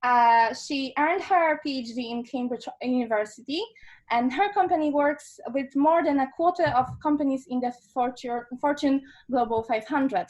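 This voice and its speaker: A woman's voice: high voice, moderate pace, darkish tone, rough recording. A woman hosting an interview, introducing her guest and asking questions with many hesitations. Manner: hesitant, many fillers and restarts. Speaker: interview host.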